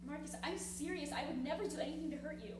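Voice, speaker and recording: A woman's voice: sits high, fast talker, lightly acted. A woman acting an earnest, pushy teenage girl, the loyal best friend, in a dramatic stage play reading. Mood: sincere, friendly. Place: theatre stage, live performance. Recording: distant, echoey, noisy theatre recording with hum.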